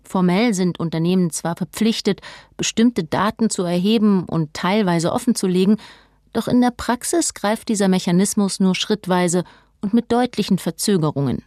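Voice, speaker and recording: A woman's voice: medium pitch, normal speed, a little mellow, no room sound. A woman narrating a scripted news documentary as a reporter, recorded close on a studio microphone.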